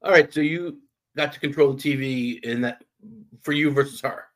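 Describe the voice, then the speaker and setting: A man's voice: mid-range voice, steady tone, normal speed, fairly bright tone. Man asking brief interview questions. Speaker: podcast host and history teacher.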